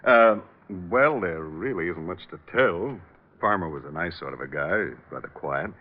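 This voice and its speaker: An actor playing a polite, slightly hesitant lodge owner in an old-time radio drama. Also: male voice, low-pitched, animated, moderate pace. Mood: guarded, affable. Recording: dull vintage radio broadcast recording.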